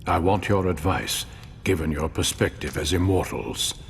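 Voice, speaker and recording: An actor playing a grave, weary mortal ruler in a game cutscene, speaking in measured, formal, deliberate phrases. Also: male voice, gravelly. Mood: solemn, earnest. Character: weary mortal male ruler, grave, idealistic yet pragmatic. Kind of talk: dramatic game cutscene acting. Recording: long hall reverb, low rumble.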